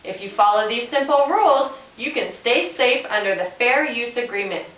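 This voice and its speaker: A woman plays a helpful police officer puppet teaching a class. The voice is fairly high and very animated, explaining each point deliberately. It sounds roomy, as if recorded some way from the mic. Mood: instructive. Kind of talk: scripted educational puppet show. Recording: roomy, distant microphone.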